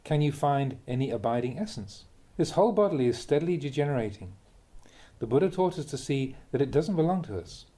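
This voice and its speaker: A man reading a Buddhist teaching aloud as a Dhamma talk, in measured, deliberate phrases with emphatic contrasts. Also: mid-range voice, lively intonation, mellow.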